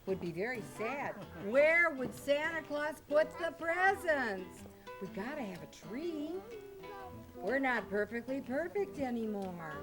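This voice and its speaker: Woman host chatting, slightly high-pitched voice. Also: sing-song, a little slow, poor audio. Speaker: host of a family holiday reading. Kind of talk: informal chat to a group. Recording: music playing behind the voice.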